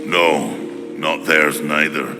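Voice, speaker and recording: An actor playing a gruff but good-hearted giant weapon smith in a video game, with a measured, wry, earnest way of talking. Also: male voice, rumbling low, fairly even intonation. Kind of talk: dramatic video game voice acting. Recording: long reverb, loud music bed. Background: game music.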